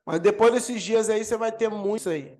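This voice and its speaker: A man with a Brazilian accent, an apostle teaching a healing course over a video call, energetic and emphatic as he answers questions. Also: sits high, moderate pace. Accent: Brazilian Portuguese. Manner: energetic preacher, swells and emphasizes key words. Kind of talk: live online teaching with Q&A.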